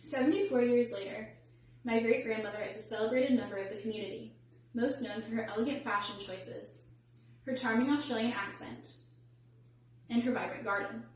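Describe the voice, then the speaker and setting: A young woman delivering a rehearsed storytelling speech in a measured, formal, carefully enunciated way. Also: on the high side. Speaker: student public speaker. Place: indoor echoey room, recorded from a distance. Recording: echoey room, distant mic, faint hum.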